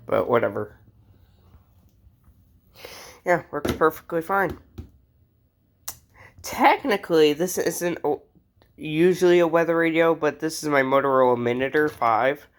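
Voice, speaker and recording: A man's voice: on the high side, measured pace, long pauses. A man talking casually and informally as he shows off a collection, rambling as he goes. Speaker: host showing a radio collection. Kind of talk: casual hobby show-and-tell.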